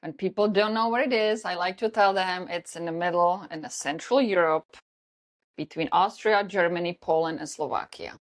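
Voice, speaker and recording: A woman's voice: on the low side, normal speed. A woman in her forties from the Czech Republic with a Czech accent, an earnest health coach telling her story in a casual podcast interview. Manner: earnest, storytelling, slightly non-native grammar.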